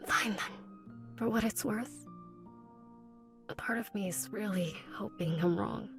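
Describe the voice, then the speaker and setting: Woman as world-weary young woman, visual novel, blunt, creaky, low-key. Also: a little slow, faint music behind, lightly acted. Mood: resigned.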